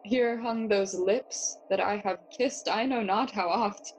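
Woman playing brooding young noble, slow and deliberate. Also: medium pitch, a little hiss or hum, lightly acted, low-quality recording. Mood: wistful, grieving. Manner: musing, questioning, theatrical. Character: young Hamlet, brooding, witty, curious noble. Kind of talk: bilingual Spanish-English Shakespeare audio play acting.

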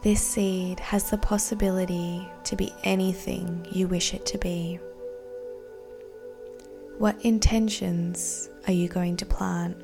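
A woman guiding a meditation in a soothing, deliberate, breathy voice that often nears a whisper. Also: fairly low pitch, fairly even intonation. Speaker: meditation guide. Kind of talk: guided meditation narration. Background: soft background music.

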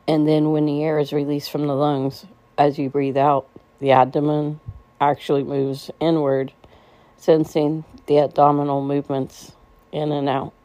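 Low, mellow woman guiding a meditation. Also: level tone, no echo, poor audio. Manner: gentle, soothing, unhurried phrasing with pauses. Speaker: meditation guide. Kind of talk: guided meditation.

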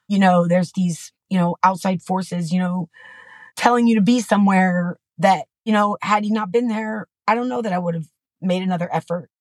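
A woman's voice: mid-range voice, no room sound. A middle-aged woman telling a personal family story as a podcast guest, candid and rambling, full of "you know" and restarts. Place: Texas.

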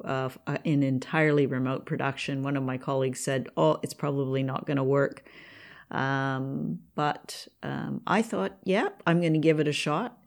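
A woman's voice: deep voice, a little mellow. A woman hosting a podcast, speaking in an interview conversation. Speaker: podcast host and producer.

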